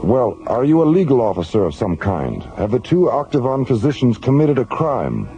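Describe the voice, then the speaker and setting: An actor playing a stern, authoritative space commissioner in a radio drama, formal and commanding. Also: male voice, medium pitch, sing-song, moderate pace, warm tone. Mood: stern, official. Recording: dull lo-fi radio drama tape.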